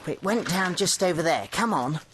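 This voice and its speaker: An actor playing an excitable amateur astronomer in an audio drama, with a precise, emphatic, lecturing manner. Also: male voice, sits high, moderate pace, a little hiss or hum. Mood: excited, astonished.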